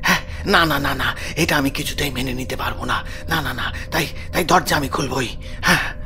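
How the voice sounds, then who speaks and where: A man's voice: mid-range voice, normal speed, vocal fry, bright, forceful tone, a little hiss or hum. A man playing an anxious, stubborn village householder in a horror audio drama, flustered and stammering over repeated words. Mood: flustered, insistent.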